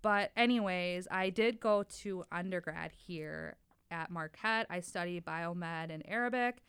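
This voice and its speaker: Smooth-voiced young woman, chatty guest. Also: fairly low pitch, a little slow, a bit bright, no room sound. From Wisconsin, United States. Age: 20s. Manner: chatty and open, talks in long flowing stretches. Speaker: podcast guest, lab tech. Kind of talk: casual podcast conversation.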